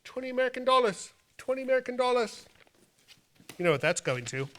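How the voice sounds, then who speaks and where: High-pitched, bright-voiced theatrical man hosting. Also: very animated, quick pace. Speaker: host of a horror movie show. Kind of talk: theatrical casual banter while reading viewer mail.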